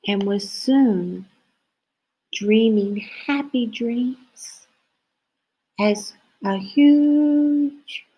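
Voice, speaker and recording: A woman with a fairly high voice reads a children's story aloud. She goes very slowly, stretching out phrases with expressive storytelling delivery. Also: breathy edge, poor audio. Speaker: storybook reader.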